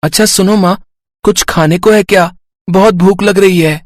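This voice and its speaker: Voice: mid-range voice, steady tone, moderate pace, no echo, clean studio sound, natural voice. An actor voicing a poor, sensible six-year-old boy in a cartoon story. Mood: worried, hungry.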